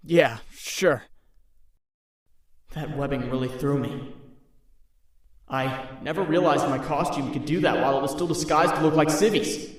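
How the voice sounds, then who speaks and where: Guy doing anxious young superhero for a comic dub, self-doubting, kinda high voice, far from the mic. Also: a little slow, pauses often, close to an everyday voice. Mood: pensive, uneasy. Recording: very echoey room, distant from mic.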